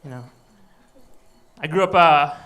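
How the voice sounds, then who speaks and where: A man from Michigan working a comedy club crowd, his voice pitched high with a bright, forward edge. He talks in a loose, wry, conversational way into a handheld mic, pitching jokes straight at the room. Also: unhurried. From Michigan, USA. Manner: wry, chatty crowd banter. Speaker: stand-up comedian. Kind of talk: live stand-up set.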